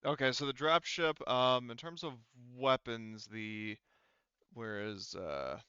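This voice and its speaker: Guy game mastering a tabletop rpg, forceful clear voice, thinks out loud, dull lo-fi audio. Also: medium pitch, no room sound. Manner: halting, thinking aloud while checking rules. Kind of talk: casual tabletop roleplaying game refereeing.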